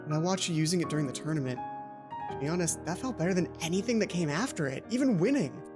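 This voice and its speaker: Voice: medium pitch, lively intonation, fast, close to an everyday voice. Earnest smitten schoolboy, as a game streamer reads him over music. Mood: tender, earnest. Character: earnest, smitten schoolboy confessing his feelings.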